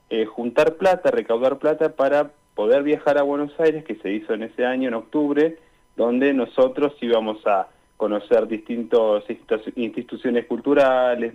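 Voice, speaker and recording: The audio is a slightly muffled, lo-fi remote call. A young man with an Argentine accent answers as an interview guest in a casual, conversational style, with a mid-pitched voice. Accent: Argentine Spanish. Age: young adult. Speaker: student guest, radio drama performer.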